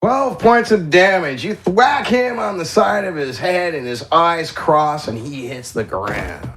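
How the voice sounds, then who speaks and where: A man's voice: fairly high pitch, expressive, unhurried, fairly bright tone. A man acting as game master, casually narrating a tabletop fantasy game with improvised, descriptive play-by-play.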